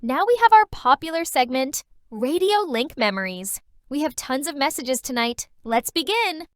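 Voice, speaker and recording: A woman's voice: high-pitched, big pitch swings, moderate pace, dry recording, studio quality. A woman playing a cheerful late-night radio DJ who reads listeners' letters expressively in a manga dub. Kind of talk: radio presenting, anime-style dub.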